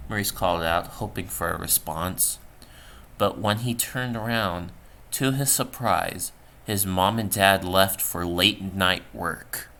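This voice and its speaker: A man reading a scripted horror story as narrator, somewhat halting with stumbles, on a home recording. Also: low-pitched, bright, forceful tone. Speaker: storyteller, channel creator.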